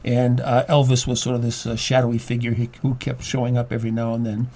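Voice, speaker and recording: An older man with a medium-pitched voice speaks as a guest in a conversational interview. He explains in a halting, stammering way, often repeating words as he goes. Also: monotone, mellow. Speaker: studio guest, playwright and former teacher.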